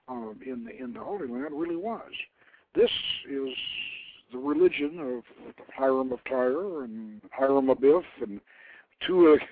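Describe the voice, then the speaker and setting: A man hosting a radio show, explaining things informally in a halting way, repeating and rephrasing as he goes. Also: medium pitch, breathy edge, no echo. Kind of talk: informal explanatory talk, taking calls. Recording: narrow phone-like internet radio line.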